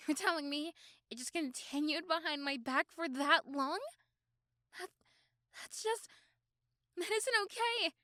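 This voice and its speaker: Woman acting a high-voiced, possessive schoolgirl girlfriend. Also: pushed and bright, no room sound, studio quality. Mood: shocked, angry. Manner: doting, intense, dramatic pauses and repeated words. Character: possessive, protective teenage schoolgirl girlfriend with a menacing streak. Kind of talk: ASMR roleplay acting addressed to the listener.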